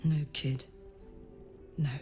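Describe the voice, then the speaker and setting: A woman's voice: drawn-out words, a little mellow. A woman voicing a world-weary, protective older woman in a video game, wry and plain-spoken. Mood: firm. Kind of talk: video game character acting. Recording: music behind the voice.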